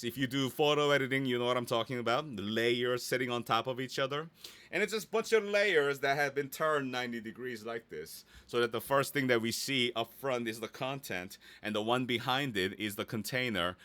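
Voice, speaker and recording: Guy walking people through a screen tutorial, bright voice, super dry sound, chatty n a bit goofy. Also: medium pitch, no echo. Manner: chatty, playful sound effects, checks in with "right?". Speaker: instructor, presenter. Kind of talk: casual live screen-share tutorial.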